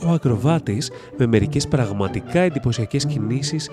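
A man's voice: mid-range voice, lively intonation. A man reading a scripted fairy tale as a storybook narrator. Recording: music behind the narration. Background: loud instrumental music.

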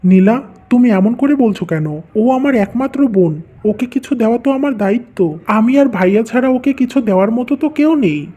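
A performer voicing a gentle, dutiful young man, a poor husband and caring brother, in a cartoon story. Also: very high-pitched, lively intonation, moderate pace, highs cut off. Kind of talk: Bengali cartoon story voice acting.

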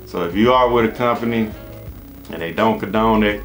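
An American man with a deep voice gives informal advice as a channel host. He rambles and repeats himself in a casual, conversational way. Music plays behind his talk. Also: normal speed, low-quality recording. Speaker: HVAC business coach and channel host. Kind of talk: casual advice monologue to camera. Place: small indoor office.

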